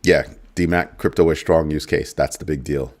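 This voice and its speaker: A man with a very low, deep voice hosts a casual crypto livestream. He is middle-aged and talks loosely to his viewers, repeating phrases and tossing in playful asides. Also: fairly even intonation, normal speed, a little mellow. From United States. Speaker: crypto show host.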